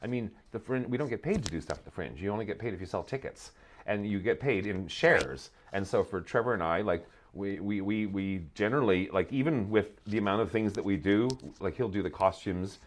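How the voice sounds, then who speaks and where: A man's voice: on the low side, a little fast, a little hiss or hum. A middle-aged man, telling stories as a guest in a casual podcast chat, amused by himself. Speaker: guest, drag theatre artist and writer.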